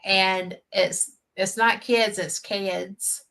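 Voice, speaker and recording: Brief: older Southern woman, slow, bright, folksy chatty host. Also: mid-range voice, fairly even intonation, creaky. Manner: chatty, laughs easily, folksy sayings. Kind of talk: casual chat on a live stream.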